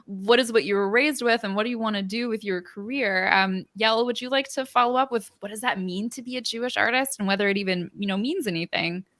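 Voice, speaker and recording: A woman's voice: mid-range voice, fairly quick, hardly any pauses, fairly bright tone. A woman moderating a panel, posing loose, friendly questions.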